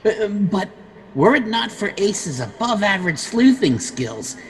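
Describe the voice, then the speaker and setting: A woman's voice: medium pitch, sing-song, unhurried. A woman acting as an enthusiastic conference emcee making formal introductions in a sci-fi satire audio drama. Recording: slightly noisy video-call audio.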